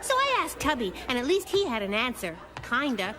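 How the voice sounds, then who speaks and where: Quick-witted cartoon little girl: very high, lively voice, matter-of-fact. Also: background noise. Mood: chatty, musing. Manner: plain-spoken, matter-of-fact, childlike. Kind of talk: children's cartoon voice acting.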